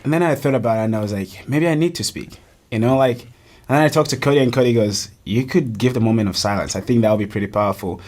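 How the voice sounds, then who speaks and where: Young man from Uganda, podcast guest, earnest and animated, mid-pitched, restarts a lot. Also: fast. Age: young adult. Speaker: college soccer player, guest. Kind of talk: casual podcast conversation.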